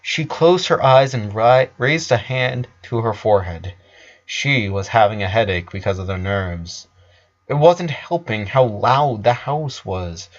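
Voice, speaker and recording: A man's voice: lowish voice, a little slow. A man narrating a story in a dramatic reading style. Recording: dull lo-fi sound.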